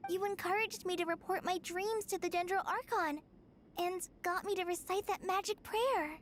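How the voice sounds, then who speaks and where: A woman voicing a small, eager and excitable young assistant in a video game, polite but quick to stammer and exclaim. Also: very high-pitched, slight background noise. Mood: startled.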